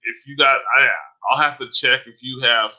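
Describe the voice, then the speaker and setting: A man chatting casually on a live stream, talking to viewers by name in a loose, rambling way, with a voice starting to go hoarse. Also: mid-range voice, flat delivery, moderate pace, sharp tone, low-quality recording. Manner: loose and rambling, many fillers and restarts; addresses viewers by name, says "man" often. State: voice going hoarse.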